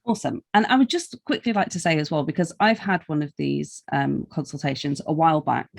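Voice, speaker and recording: A woman's voice: deep voice, fairly quick, gravelly. A woman talking casually and informally as an interviewer on a podcast.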